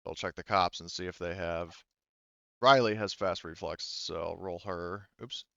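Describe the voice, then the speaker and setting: A man running a tabletop roleplaying game as game master, talking casually and haltingly as he thinks aloud. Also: low voice, fairly even intonation, vocal fry, bright, forceful tone, no room sound, highs cut off.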